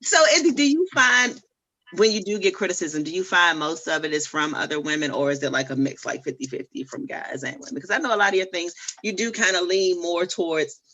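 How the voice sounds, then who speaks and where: A woman's voice: fairly low pitch, fairly quick, bright, forceful tone, rough recording. A woman hosting a podcast in casual chat, playful and slangy. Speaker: podcast host.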